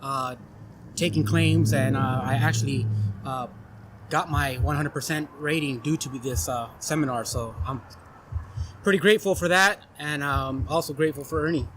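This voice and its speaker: A veteran man speaking haltingly with many fillers as a podcast guest. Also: a little high, steady tone. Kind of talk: casual podcast conversation. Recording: background noise.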